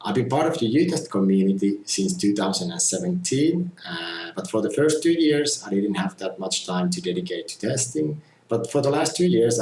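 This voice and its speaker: A middle-aged man from Finland, giving a measured, earnest testimonial about his community testing and often saying "you know". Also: sits low, darkish tone, slight background noise. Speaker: community tester. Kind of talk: casual testimonial talk. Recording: roomy echo with faint ambience.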